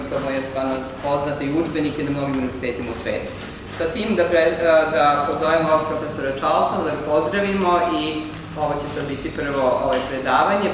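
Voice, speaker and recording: Halting man introducing speaker, echoey hall. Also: fairly high pitch. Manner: halting, many fillers and restarts. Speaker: seminar organizer introducing the speaker. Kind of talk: formal introduction. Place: large lecture hall. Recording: echoey hall from far off with noise.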